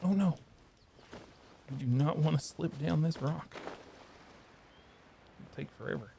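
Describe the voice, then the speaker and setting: A man's voice: a little high, a little slow, gravelly, warm tone, a little hiss or hum. A man giving casual gameplay reaction commentary as a streamer. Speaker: gaming streamer.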